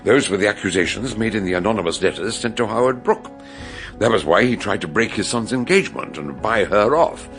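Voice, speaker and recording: An actor playing a shrewd, courteous older British detective in a radio drama, speaking in a ponderous, theatrical way. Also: male voice, on the low side, animated, brisk, fairly bright tone, soft background music. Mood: grave warning. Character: shrewd, grave, genial older male detective. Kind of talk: radio drama acting and narration.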